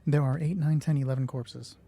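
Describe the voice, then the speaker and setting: A man's voice: mid-range voice, fairly even intonation, brisk, creaky, warm tone, faint music behind. A man hosting a solo tabletop roleplay, improvising narration and thinking aloud as he corrects himself. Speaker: solo roleplay host and game master.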